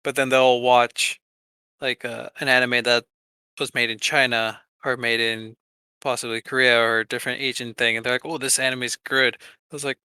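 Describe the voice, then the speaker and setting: A man's voice: medium pitch, flat delivery, normal speed, sharp tone, clear, resonant tone, no echo. A man co-hosting a podcast, chatting in an informal, rambling and jokey way. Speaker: podcast co-host. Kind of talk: casual podcast chat.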